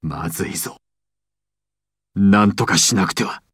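A man's voice: sits low, measured pace, creaky, fairly bright tone, slightly rough, no echo, heavily acted. Imperious male mafia boss villain, commanding, secretive and theatrical, anime game style, dry recording. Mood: tense, secretive. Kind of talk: anime and video game voice acting.

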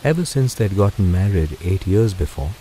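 A man softly narrating a guided sleep story in a soothing, unhurried, gentle manner, with a mellow voice. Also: sits low, expressive, a little hiss or hum, poor audio.

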